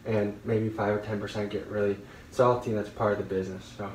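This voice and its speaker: Guy explaining stuff fast, average pitch, echoey phone video indoors. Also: level tone, darkish tone, a little hiss or hum. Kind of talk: casual explaining. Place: small apartment room.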